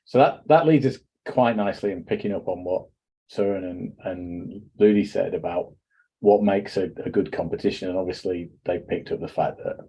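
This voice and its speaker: A man's voice: low-pitched, moderate pace, dark tone, a little hiss or hum, low-quality recording. A middle-aged man hosting a casual podcast chat, rambling and wry with self-deprecating jokes. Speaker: podcast host.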